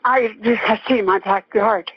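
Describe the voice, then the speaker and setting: An older woman calling emergency services on the phone, distraught and shaken, speaking haltingly with exclamations. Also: mid-range voice, slightly rough, no echo. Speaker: emergency caller. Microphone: telephone. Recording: muffled lo-fi telephone line.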